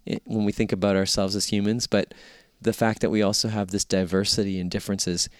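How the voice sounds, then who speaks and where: A man's voice: lowish voice, level tone, fairly quick, smooth, no echo, studio quality. A man from Canada interviewing a guest in casual chat, eager, with many fillers and restarts.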